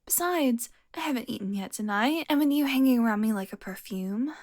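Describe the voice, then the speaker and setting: High-voiced teasing vampire woman, acted out by a woman. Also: animated, a little fast, a little mellow. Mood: smug, teasing. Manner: sardonic, teasing, breathy asides. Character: teasing, flirtatious female vampire, long-lived, foul-mouthed. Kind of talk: audio roleplay monologue to the listener.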